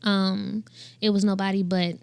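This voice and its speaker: A young woman in her twenties, a gospel singer with a high voice, telling her story in an animated interview. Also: unhurried, vocal fry, clean tone, no room sound. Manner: animated, laughs often, acts out quotes in a higher voice. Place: podcast studio set with couches. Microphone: boom mic on a stand.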